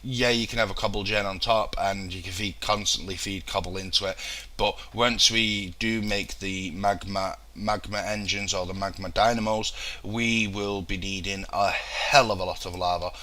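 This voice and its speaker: A man's voice: low-pitched, fairly even intonation, normal speed, pushed and bright. A young man with a throat infection, rambling through casual let's-play commentary with lots of ums and restarts.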